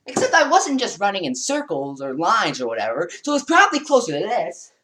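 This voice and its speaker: Teen boy, comic vlogger, bouncy high delivery, distant from mic. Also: wildly swooping pitch, brisk, sharp tone, slight background noise. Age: teens. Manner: rambling, jokey, acts out scenes while moving around. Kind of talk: comedic parody storytelling. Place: small basement room, indoors. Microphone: built-in webcam mic across the room. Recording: roomy and distant.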